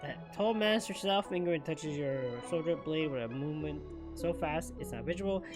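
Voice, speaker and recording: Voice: medium pitch, brisk. A game streamer giving casual, informal reaction commentary and thinking aloud during a playthrough. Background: game music.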